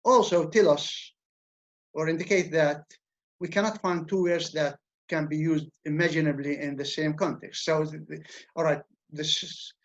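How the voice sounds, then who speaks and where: A man's voice: fairly high pitch, fairly even intonation. Man lecturing, lo-fi. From Levant. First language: Arabic. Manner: measured, explanatory, repeats words when restarting. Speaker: university lecturer. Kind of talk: academic lecture. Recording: dull lo-fi recording.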